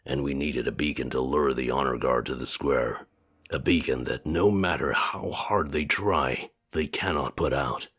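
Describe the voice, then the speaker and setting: An actor playing a cold, ruthless commander who speaks in a measured, unyielding way, voiced for a visual novel game. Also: male voice, extremely low pitch, a bit bright, slightly rough, full character voice. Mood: cold, stern.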